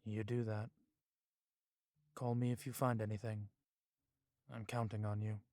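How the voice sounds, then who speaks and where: An actor playing a stern, cautious vampire police chief in an audio drama, speaking gravely with some Spanish words mixed in. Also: male voice, on the low side, flat delivery, unhurried, darkish tone, no room sound, studio quality, natural voice. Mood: measured, watchful.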